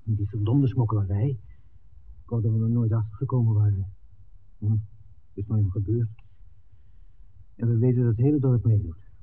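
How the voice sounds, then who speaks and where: An actor playing an earnest young documentary film-maker, tender and defiant, in a 1960s Dutch radio drama. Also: male voice, on the low side, steady tone, normal speed, pauses often, husky. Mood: troubled. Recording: narrow-band 1969 radio recording, faint hiss.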